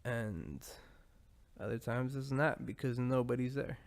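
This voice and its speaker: A man's voice: mid-range voice, expressive, unhurried. A man hosting a podcast, talking in a loose, rambling monologue full of profanity and asides. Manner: rambling, profane, laid-back. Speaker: podcast host.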